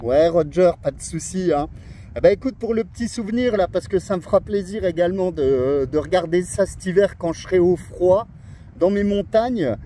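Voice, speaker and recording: High-voiced man on portable radio outdoors. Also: moderate pace, dark tone, slight background noise. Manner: friendly, spells words in radio alphabet. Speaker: portable CB radio operator and YouTuber. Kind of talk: casual radio contact chat. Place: outdoors among pine trees on an island.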